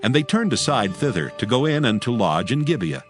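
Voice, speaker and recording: A man's voice: medium pitch, big pitch swings, a little fast, faint music behind. A man narrating dramatized scripture in archaic English with a solemn storytelling manner.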